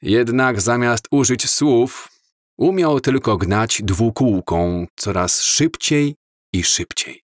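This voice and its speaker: A man narrating an audiobook story in a slow, measured, calm reading style. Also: mid-range voice, no room sound, studio quality.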